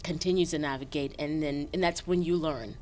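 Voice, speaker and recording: A woman actor with a very low voice talks fluently and expressively in an interview. Her voice drops soft at times before lifting again. A faint rumble runs underneath. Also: a little fast. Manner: fluent, expressive, trails off softly. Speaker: actor guest. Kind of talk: casual interview.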